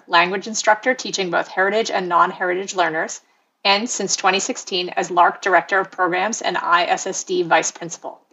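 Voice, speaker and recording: A woman's voice: fairly low pitch, gravelly, bright, forceful tone, slightly rough, dull audio. A woman moderator reading guest introductions and asking questions in a formal, polite manner.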